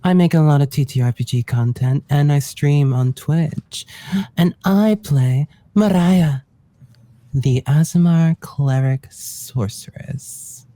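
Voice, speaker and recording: Slow, mellow-voiced cheeky woman. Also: sits low, no room sound. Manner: cheeky, bawdy joking. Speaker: player and streamer. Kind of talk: casual self-introduction.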